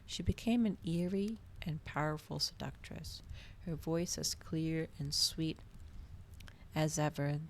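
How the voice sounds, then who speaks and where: A woman with a notably low voice reads fiction in a cozy ASMR style. Her delivery is soft, unhurried and dramatic, with plenty of gravelly fry at the edges. A faint noise sits behind the recording. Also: fairly even intonation. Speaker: streamer reading a novel aloud. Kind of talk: cozy ASMR storybook narration.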